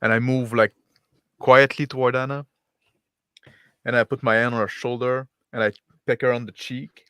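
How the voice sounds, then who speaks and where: Male roleplaying gamer, mid-pitched, casually laying out his character's moves bit by bit in a dry recording. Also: flat delivery, moderate pace, long pauses, sharp tone, clean tone, no room sound. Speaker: player.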